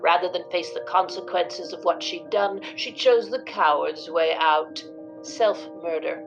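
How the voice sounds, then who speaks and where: A stern, righteous middle-aged woman in an audio drama, bitter and pious, with creak in her voice. She speaks deliberately, in a lo-fi recording over music. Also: on the low side. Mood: righteous, sorrowful. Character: bitter, pious middle-aged daughter of a disgraced film star. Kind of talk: dramatized interview. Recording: dull lo-fi sound with music.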